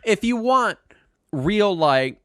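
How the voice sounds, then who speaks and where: A man's voice: sits high, very animated, slow pace, clean tone, dry recording, clean studio sound. A man hosting a casual podcast, a bit congested from a cold, talking in a rambling way with lots of ums and restarts. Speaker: podcast host.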